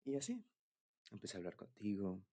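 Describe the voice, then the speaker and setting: Young male, Mexican accent, deep and breathy, for shy, intimate boyfriend-style ASMR talk. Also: huge pitch swings, fairly quick, mellow. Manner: bashful, hesitant, giggly. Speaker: ASMR roleplay creator.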